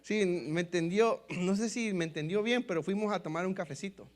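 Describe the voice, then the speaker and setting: Mexican man, pastor, high-pitched; lively sermon storytelling, Mexican Spanish accent, keeps saying "brothers". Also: a little fast. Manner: storytelling, repeatedly addressing listeners as brothers; lively, acts out quoted dialogue. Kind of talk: sermon testimony.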